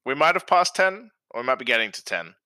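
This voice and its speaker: A man's voice: mid-range voice, sing-song, fast talker, sharp tone, no room sound. A man with an accent mixing English and Australian, chatting easily as a guest co-host on a podcast and laughing often. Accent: mixed English and Australian. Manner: easygoing, laughs often, self-deprecating. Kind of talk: casual podcast chat.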